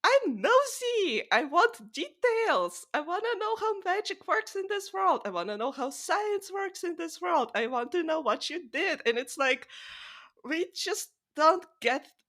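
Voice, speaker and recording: A woman's voice: very high-pitched, very animated, unhurried, a bit bright. A woman co-hosting a podcast, talking through books in a casual, opinionated way. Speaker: podcast co-host. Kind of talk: casual book discussion.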